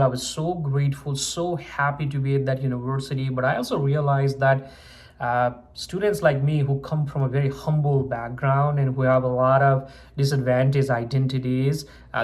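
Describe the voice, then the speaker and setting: Earnest young man recounting his story. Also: medium pitch. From Nepal. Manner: earnest, many uh fillers and restarts. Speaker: medical student vlogger. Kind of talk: personal story told to camera.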